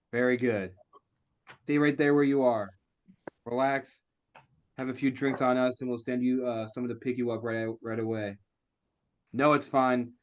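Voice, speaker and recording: A man in a script table read playing a smug, scheming agency boss, falsely reassuring and slightly halting. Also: medium pitch, natural voice. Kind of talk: virtual table read of a comedy screenplay. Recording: dull, muffled lo-fi connection.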